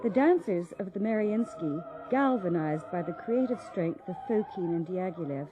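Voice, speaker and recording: A woman's voice: lowish voice, expressive. Woman narrating a documentary over music. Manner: measured, formal storytelling. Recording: dull lo-fi sound with faint music.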